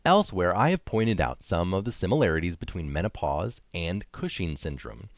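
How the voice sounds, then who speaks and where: A man's voice: low-pitched, expressive, moderate pace, dry recording, studio quality. A man reading an article aloud with casual asides, speaking emphatically and posing rhetorical questions. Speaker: reader of articles.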